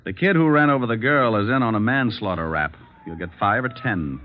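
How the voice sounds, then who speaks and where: An actor playing a wry, flippant but tough private detective in an old-time radio drama. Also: male voice, fairly low pitch, animated, a little fast, natural voice. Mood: businesslike, steady. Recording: muffled old radio broadcast recording.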